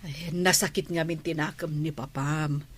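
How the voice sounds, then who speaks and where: A woman acting a concerned older female relative who advises gently in an Ilocano radio drama. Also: low voice, fairly even intonation, normal speed.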